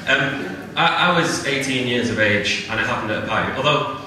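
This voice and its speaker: Young Liverpudlian comic in an echoey club. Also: male voice, medium pitch, a little fast, crowd noise. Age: young adult. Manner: self-deprecating, chatty, with filler "right?" tags. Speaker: stand-up comedian. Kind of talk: stand-up comedy set. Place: comedy venue with a live audience. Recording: echoey room, distant mic, audience laughter.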